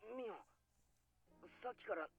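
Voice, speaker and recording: A man's voice: sits high, normal speed, gravelly, husky, lo-fi sound, soft background music, heavily acted. An actor playing a serious, troubled teenage boy in a Japanese visual novel. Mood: tense, probing.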